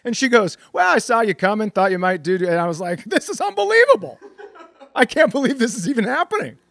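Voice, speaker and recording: Animated man telling comic stories. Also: very high-pitched, a little fast, creaky, no echo, studio quality. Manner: animated, acts out quoted dialogue. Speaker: comedy podcast co-host. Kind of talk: casual comedic storytelling.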